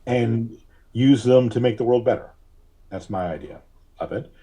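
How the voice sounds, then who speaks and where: Husky-voiced man answering thoughtfully. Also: medium pitch, mellow. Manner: halting, searching for words, thoughtful. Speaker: podcast guest, artist and reverend. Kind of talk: conversational interview answers.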